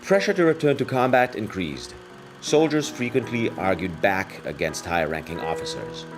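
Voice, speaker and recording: A man's voice: on the low side, sing-song, normal speed, music in the background. A man reading scripted, dramatic documentary narration as a narrator.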